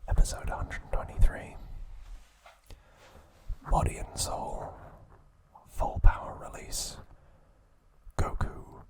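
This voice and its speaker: Man whispering, very slow, unvoiced, soft ASMR narration, calm. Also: rough recording. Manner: calm storytelling with occasional fillers and self-corrections. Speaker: ASMR channel host.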